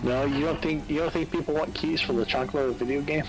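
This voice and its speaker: A man's voice: on the high side, steady tone, quick pace, rough recording. A man joking and swearing during casual gaming chat on a stream. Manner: joking, profane banter. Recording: music clearly under voice.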